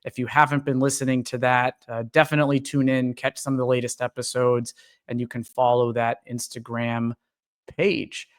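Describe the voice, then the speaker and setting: Male podcast host, easygoing, lots of "uh"s, middle-pitched voice. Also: little pitch movement, normal speed. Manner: friendly, filler-heavy, welcoming. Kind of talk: casual podcast hosting.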